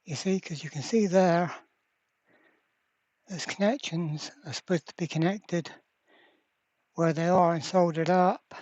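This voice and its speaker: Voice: mid-range voice, steady tone, measured pace, long pauses, a little mellow, no room sound. A presenter giving casual hands-on workbench commentary, thinking aloud in a hesitant way. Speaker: repair video presenter. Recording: dull, muffled lo-fi sound.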